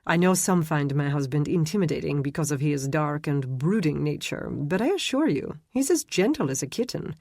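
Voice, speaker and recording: A woman voicing a cheerful young woman with a lilting French accent, talking quickly. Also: deep voice, expressive, darkish tone, dry recording, studio quality. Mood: teasing, insistent.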